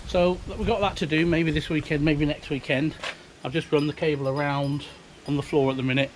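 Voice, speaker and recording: A man's voice: on the high side, steady tone, a little fast, background noise. A British man in his fifties giving a chatty, unpolished, rambling show-and-tell as a hobbyist, recorded outdoors on a handheld device. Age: 50s. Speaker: hobbyist video maker. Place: outdoors beside a house, breezy. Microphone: handheld camera or phone.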